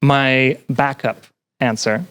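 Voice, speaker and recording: A young adult podcast guest in casual chat, explaining ideas earnestly and pausing to find words. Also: medium pitch, steady tone, a bit bright, clear, resonant tone. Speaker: podcast guest, student representative.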